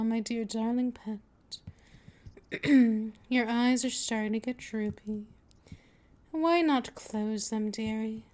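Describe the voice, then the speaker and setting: Casting: actress as a possessive female vampire mistress for ASMR audio, higher-pitched, very unhurried, hypnotic and dominant. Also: a little mellow. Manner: slow, coaxing, soothing, often drifting into whispers. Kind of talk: scripted ASMR roleplay, hypnotic monologue.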